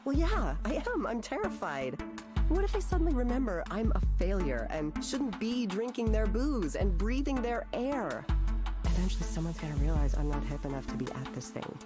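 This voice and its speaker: An actress playing an anxious, self-mocking young artist in a visual novel, with an American accent and a hesitant, rambling way of talking. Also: female voice, medium pitch, sing-song, normal speed, over loud music, natural voice. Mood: terrified. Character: nervous, self-deprecating, struggling young woman artist. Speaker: counseling client. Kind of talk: visual novel voice acting, conversational confession.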